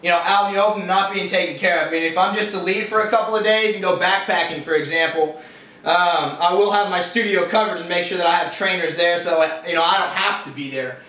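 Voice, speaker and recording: High-pitched young Californian man, very echoey. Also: quick pace, bright, forceful tone. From California, USA. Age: 20s. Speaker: interviewee, fitness business owner. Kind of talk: casual interview answers. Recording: echoey, distant microphone.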